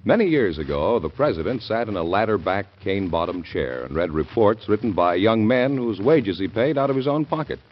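A man with a low, husky voice announces a vintage radio program. He speaks emphatically, and his pitch swings widely. The recording is dull and lo-fi. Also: lively intonation, brisk, few pauses.

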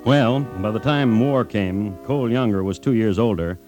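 An actor narrating an old-time radio drama as a dry, sardonic storyteller with a deadpan manner. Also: male voice, lowish voice, moderate pace, lightly acted. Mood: wry. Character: dry, sardonic male storyteller of outlaw tales. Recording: vintage radio recording, music underneath.

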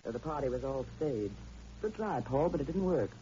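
A woman's voice: very deep voice, expressive, a little fast, dark tone. An actress playing a cool, scheming, sardonic woman in an old-time radio drama, with an arch, mocking, theatrical delivery. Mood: cool, taunting. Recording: dull, noisy old radio recording.

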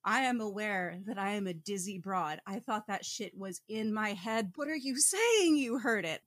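A woman's voice: medium pitch, expressive, moderate pace, a bit bright, no echo, clean studio sound. A woman co-hosting a podcast, giving casual comedic book commentary in a wry, emphatic, slangy manner. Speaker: podcast co-host.

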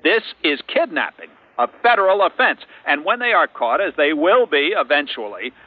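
A man's voice: a little high, sing-song, moderate pace. A man playing an earnest, authoritative broadcaster in an old-time radio drama, grave, formal and emphatic. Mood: grave appeal. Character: earnest, authoritative male broadcaster pleading with criminals. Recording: echoey, tinny old radio sound.

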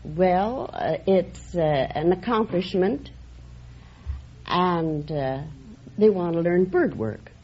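A woman's voice: fairly low pitch, animated, slow, breathy edge. A woman who teaches whistling, answering a quiz host's questions plainly and with some hesitation. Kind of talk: game show interview. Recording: dull old radio broadcast with heavy hiss.

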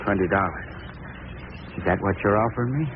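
A man playing a kindly, troubled American farmer father, speaking gently and haltingly in an old-time radio drama. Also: on the low side, lightly acted. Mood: resigned. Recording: tinny, hissy old radio broadcast.

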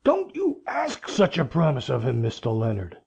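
A woman voicing a stubborn old country man with a husky voice and folksy phrasing, in a storybook reading. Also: fairly low pitch, huge pitch swings, slow, warm tone, pitched below the performer's own voice, full character voice. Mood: angry, pleading.

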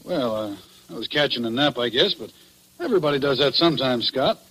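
An actor playing a defensive, folksy night jailer who talks in slang, in old radio drama style. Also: male voice, mid-range voice, expressive, brisk, pushed and bright. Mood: casual, defensive. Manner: slangy, uneducated grammar. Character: casual, defensive, folksy male jail night officer. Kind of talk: 1940s radio drama acting. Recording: noisy old radio broadcast recording.

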